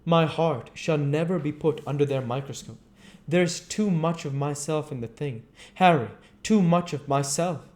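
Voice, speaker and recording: Man acting out fervent, lovestruck painter, raised pitch. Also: moderate pace, darkish tone, close to an everyday voice. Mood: earnest, anguished. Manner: fervent, dramatic, confessional. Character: ardent, anguished male painter, earnest and infatuated. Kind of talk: storybook dramatic reading.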